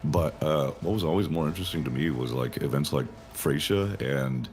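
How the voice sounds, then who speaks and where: A man's voice: rumbling low, moderate pace, darkish tone, soft background music. A man who works as a game developer, answering interview questions in a rambling way, thinking aloud with lots of fillers.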